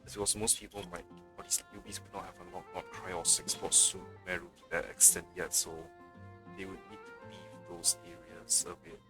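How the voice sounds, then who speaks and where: A man's voice: low voice, little pitch movement, a little fast. A man giving casual, rambling live commentary as he plays a video game, offhand and sweary. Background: game music.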